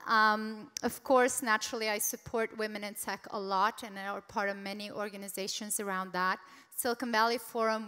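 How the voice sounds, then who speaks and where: A woman's voice: medium pitch, pushed and bright, smooth. A woman hosting a panel on a conference stage with upbeat, emphatic delivery. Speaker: panel moderator. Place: large conference hall. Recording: echoey conference hall.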